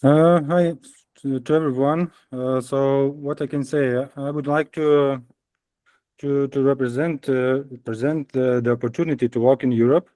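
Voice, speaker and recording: A man from Lithuania with a Lithuanian accent, a company CEO giving brief welcome remarks in a halting way, often restarting his phrases. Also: mid-range voice, fairly even intonation, warm tone, no room sound.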